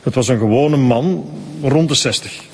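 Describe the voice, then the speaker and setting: Husky, prim Flemish church sexton. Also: male voice, medium pitch, normal speed. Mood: guarded. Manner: formal, evasive, dismissive. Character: prim, curt church sexton, reluctant and devout. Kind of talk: radio drama acting.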